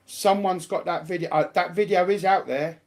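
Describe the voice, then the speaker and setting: A man's voice: high voice, steady tone, normal speed. A man speaking casually as a guest on a livestream panel, adding short interjections. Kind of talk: casual chat, brief interjections.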